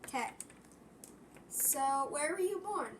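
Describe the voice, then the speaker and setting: A woman's voice: high voice, lively intonation, takes it slowly, a bit bright, slight background noise. A young woman interviewing an elder for a school project, tentative and often restarting her questions. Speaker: student interviewer.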